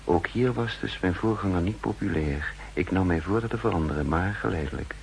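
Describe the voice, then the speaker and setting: Low-voiced, wary spy narrator. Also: male voice, creaky, slightly rough, full character voice. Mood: wary, reflective. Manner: deliberate, introspective. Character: wary male spy narrating his inner thoughts. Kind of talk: 1960s radio drama first-person narration. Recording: noisy vintage 1960s radio recording.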